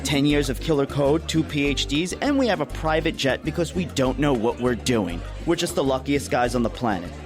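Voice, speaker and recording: An actor playing a sarcastic, defiant tech founder in an audio drama, with a dry, sardonic way of talking. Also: male voice, medium pitch, animated, moderate pace, hardly any pauses, close to an everyday voice. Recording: music playing behind the voice.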